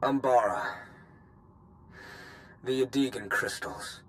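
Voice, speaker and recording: A man's voice: medium pitch, slow pace, close to an everyday voice. An actor playing a bitter, vengeful former guardsman of a fallen empire, in a grim, brooding video game performance. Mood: suspicious. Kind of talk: dramatic video game acting. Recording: clear noisy ambience behind the voice.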